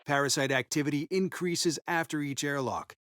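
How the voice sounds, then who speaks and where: An actor playing a serious commander in a video game, giving formal, authoritative briefings to operatives. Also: male voice, fairly high pitch, moderate pace, fairly bright tone, natural voice. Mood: grave, resolute.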